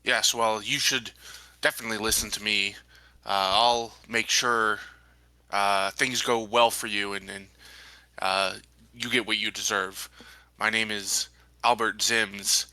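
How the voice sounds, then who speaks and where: A man playing a tabletop role-playing game, improvising his part in a measured, hesitant way. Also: on the low side, steady tone, pushed and bright, no echo. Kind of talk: improvised role-play and casual table talk.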